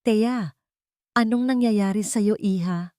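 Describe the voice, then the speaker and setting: A woman's voice: mid-range voice, takes it slowly, warm tone, clean tone, no room sound, studio quality, pitched above the performer's own voice, lightly acted. A woman voicing an old male family lawyer, worried and earnest, with a murmured, anxious delivery.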